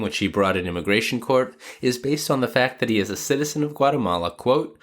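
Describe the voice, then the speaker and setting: A man's voice: on the low side, a bit bright. Man with a cold hosting a podcast, slightly nasal. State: has a cold. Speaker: podcast host; lawyer. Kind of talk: scripted legal news podcast narration with casual asides.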